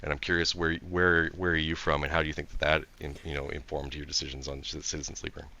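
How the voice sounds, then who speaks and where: Very deep-voiced man, eager. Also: vocal fry, dull audio. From Canada. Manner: eager, animated, quick to agree. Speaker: game director on a panel. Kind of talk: casual panel conversation.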